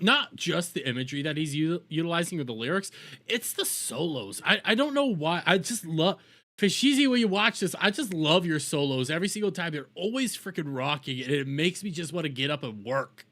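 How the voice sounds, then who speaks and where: A man giving casual live reaction commentary, excitable and quick to swear and exclaim. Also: fairly high pitch, a little hiss or hum. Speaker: host reacting to a fan-made music video. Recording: music playing behind the voice. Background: guitar music.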